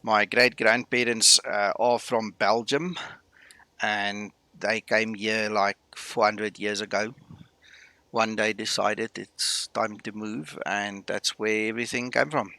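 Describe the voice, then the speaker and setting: A man from South Africa, whose first language is Afrikaans, talks to his stream viewers in a relaxed, rambling way. His voice is low and gravelly, with a bright, forward edge. The close mic sounds dry. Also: slow, no room sound. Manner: chatty, greets viewers, many fillers. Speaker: flight sim streamer. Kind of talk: casual live-stream chat.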